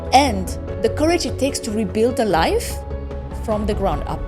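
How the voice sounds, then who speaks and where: A woman host reading a scripted show introduction in a measured, dramatic storytelling way. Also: fairly high pitch. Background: background music.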